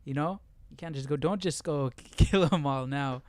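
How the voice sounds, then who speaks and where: A man's voice: a little high, normal speed, darkish tone, no echo. A man hosting a Bible study, talking casually through the text and often checking in with tag questions. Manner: conversational, seeks agreement with tag questions. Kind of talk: casual Bible study commentary with verse reading.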